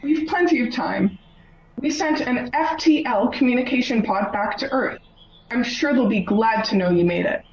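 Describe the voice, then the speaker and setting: A woman's voice: a little high, animated, moderate pace, dull audio. A woman playing a frank doctor, roomy sound. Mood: reassuring. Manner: explanatory. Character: frank, optimistic female doctor from Earth. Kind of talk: radio play acting.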